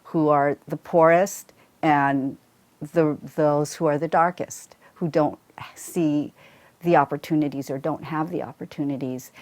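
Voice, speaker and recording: A woman speaks earnestly and deliberately in an interview. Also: low-pitched, a little mellow.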